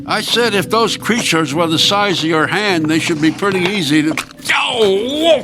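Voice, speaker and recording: A man's voice: high voice, expressive, normal speed. A man playing a fussing, caring old country husband in an audio drama, folksy and stammering, with music behind him. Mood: startled.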